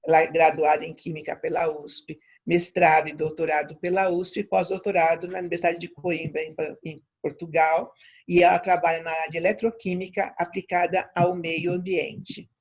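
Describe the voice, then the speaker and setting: Brazilian woman doing a lecture, raspy creaky voice, really emphatic n ironic, kinda theatrical, muffled lo-fi sound. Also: on the low side, fairly even intonation, moderate pace. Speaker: scientist giving a lecture. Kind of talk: informal public lecture. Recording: dull, lo-fi audio.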